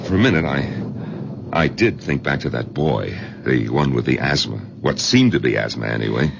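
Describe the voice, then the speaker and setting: A huffy proud small town doctor, low voice going all over, old radio play, bit muffled. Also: male voice, lively intonation, moderate pace, vocal fry, background noise, heavily acted. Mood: defensive. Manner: indignant, emphatic, self-justifying. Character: exasperated, proud village doctor, arthritic son-in-law. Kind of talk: 1970s radio drama acting.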